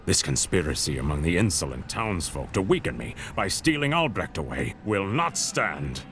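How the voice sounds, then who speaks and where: A man's voice: sits low, sing-song, moderate pace, fairly bright tone, full character voice. A man playing a paranoid, tyrannical king in a video game, delivering a cold and imperious dramatic monologue. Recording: music behind voice.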